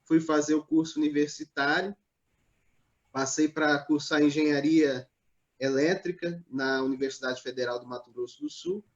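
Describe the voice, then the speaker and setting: A man from Northeast Brazil, a pastor, telling his life story in a live online interview in a measured, hesitant way, with many pauses and fillers. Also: a little high, steady tone, dull audio. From Natal, Northeast Brazil.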